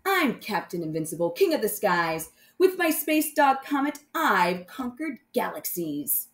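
A woman's voice: mid-range voice, big pitch swings, unhurried, fairly bright tone, roomy sound. A woman voicing a bold, dramatic boy space hero in a children's storybook, in a theatrical, exclamatory manner. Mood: boastful. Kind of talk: children's storybook read-aloud.

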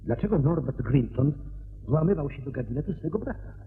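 A man's voice: a little high, lively intonation, a little fast. A man acting in a radio play as a detective's puzzled, eagerly curious companion, asking questions. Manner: questioning, inquisitive. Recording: dull radio recording, faint noise and hum.

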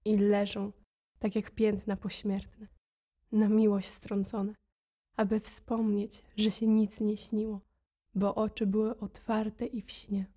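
A woman's voice: mid-range voice, little pitch movement, takes it slowly, warm tone. A woman reciting poetry for an audio drama in a solemn, restrained way.

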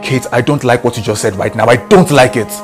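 A man's voice: medium pitch, fast talker, over music, close to an everyday voice. An actor playing a touchy, indignant boyfriend with a Nigerian accent, defending himself to his friends. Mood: upset. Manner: indignant, protesting. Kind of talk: film dialogue in Pidgin and English.

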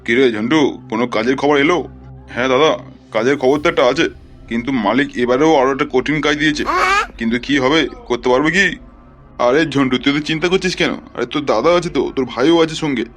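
A man voicing a nervy small-time hired thug in a cartoon, colloquial and excitable, with music behind. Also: medium pitch, fast talker, natural voice. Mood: boastful. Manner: colloquial, excitable, stammers when frightened.

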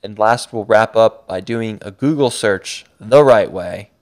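Upbeat man, smooth low voice. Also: unhurried. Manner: upbeat, a bit rambling with restarts. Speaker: course instructor and website creator. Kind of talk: slideshow course narration.

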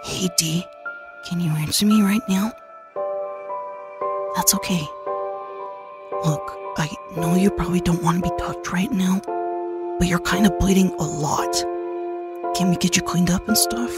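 A woman voicing a gentle, encouraging young mutant turtle boy in a fan comic dub, with a casual, reassuring manner. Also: lowish voice, fairly even intonation, unhurried, long pauses, loud music behind the voice, close to an everyday voice. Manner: soft-hearted, reassuring, casual.

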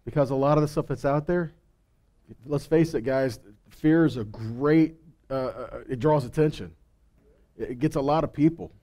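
Male preacher, middle-aged, warm dark timbre, emphatic teaching with rhetorical questions, headset mic. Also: mid-range voice, moderate pace. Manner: emphatic, rhetorical questions, stresses key words. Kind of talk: sermon, conversational teaching. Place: stage in a congregation hall.

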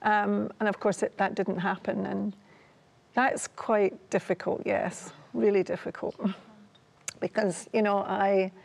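A woman's voice: mid-range voice, little pitch movement, unhurried, creaky. A woman in her sixties with a Scottish accent, sharing personal testimony as a guest on a studio talk show, in a conversational, hesitant way. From Ayr, Scotland. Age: 60s. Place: TV studio, seated at a table.

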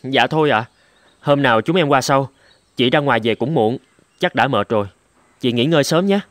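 A man voicing an indignant, protective younger brother in an animated drama, emphatic and earnest. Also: medium pitch, moderate pace, lightly acted. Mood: polite, probing. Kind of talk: Vietnamese animated drama dubbing.

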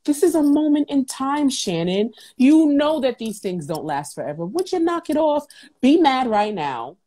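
A woman's voice: sits high, moderate pace. A woman in her thirties speaking emphatically and casually as a motivational interview guest on a video call. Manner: animated, emphatic, conversational. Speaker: guest, talk show host and entrepreneur. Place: indoors at home on a video call. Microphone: wired earbuds on a video call.

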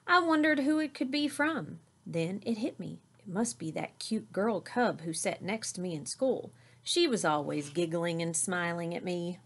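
A woman voicing a kindly, reassuring father bear from a children's picture book in an expressive way. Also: fairly low pitch, moderate pace, few pauses. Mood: reminiscing.

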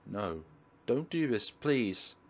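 A man's voice: on the low side, big pitch swings, takes it slowly, a little hiss or hum, exaggerated voice. A man voicing a troubled, frightened teenage schoolgirl, reading a visual novel in a dramatic, anxious way. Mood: pleading. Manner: dramatic, anxious read-aloud.

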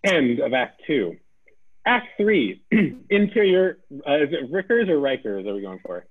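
Husky, lively man reading stage directions. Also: a little high, a little slow, narrow, tinny audio. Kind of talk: scripted narration at a table read.

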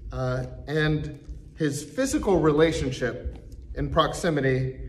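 A middle-aged pastor reads scripture in a church sanctuary, pacing it slowly and leaning on his pauses. His voice sits a bit high for a man. The camera picks him up from a distance. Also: a little hiss or hum. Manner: deliberate, slow reading with emphatic pauses. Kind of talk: reading scripture aloud in church.

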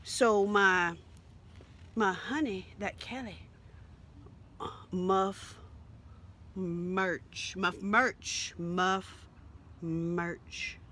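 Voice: mid-range voice, fairly even intonation, extremely slow, long pauses, slight background noise. A performer voicing a rambling, theatrical, crude mock Southern grifter in an improvised satirical monologue, with drawling, melodramatic repetition. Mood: rambling, scheming. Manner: drawling, melodramatic, repetitive, lurching from whispers to shouts.

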